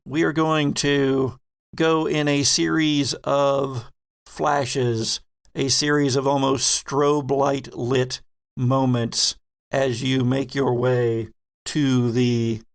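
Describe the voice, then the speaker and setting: Male tabletop horror narrator, mid-pitched, plenty of fry in the voice, descriptive delivery. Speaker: host and game master.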